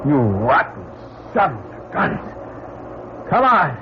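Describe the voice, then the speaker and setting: A man's voice: fairly high pitch, huge pitch swings, takes it slowly, full character voice. A man playing a hot-tempered, blunt space crewman with a slangy, folksy way of talking in an old-time radio drama. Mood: desperate, defiant. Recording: muffled radio recording, clear background noise.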